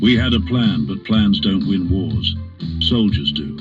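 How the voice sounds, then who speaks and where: Man as deep, weary war-trailer soldier narrator; grim delivery, muffled under loud guitar music. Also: animated, a little slow.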